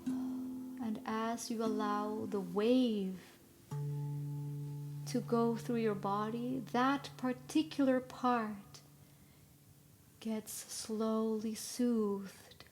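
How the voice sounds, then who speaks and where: A woman leading a live guided meditation in a soothing, instructional way, with long pauses between short phrases. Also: fairly high pitch. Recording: live recording with clear background noise.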